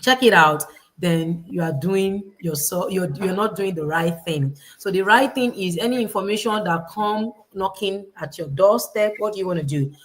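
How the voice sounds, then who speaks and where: A woman's voice: medium pitch, normal speed. A woman from Nigeria with a Nigerian accent, hosting a livestream panel in a preachy, motivational way that repeats key phrases and quotes scripture. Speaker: host.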